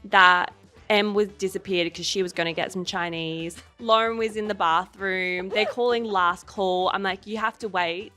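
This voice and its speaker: Bright-voiced woman telling casual anecdotes. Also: mid-range voice, fairly even intonation, normal speed, soft background music. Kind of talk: casual anecdotal interview chat.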